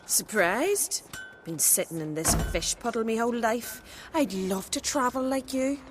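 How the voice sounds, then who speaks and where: A woman's voice: a little high, expressive, unhurried, faint music behind. Nosy, kindly young village girl: folksy, teasing, swooping intonation, reverberant space, video game role. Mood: curious, dreamy. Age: young adult. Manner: folksy, dialect-heavy, teasing.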